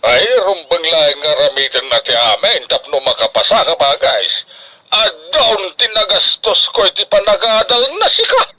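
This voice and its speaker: Doting older father, Ilocano accent, poor farmer, forceful bright voice, swooping emphatic delivery, radio drama. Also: high-pitched, expressive, moderate pace, full character voice. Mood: proud, eager. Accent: Filipino Ilocano. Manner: emphatic, emotional, colloquial exclamations.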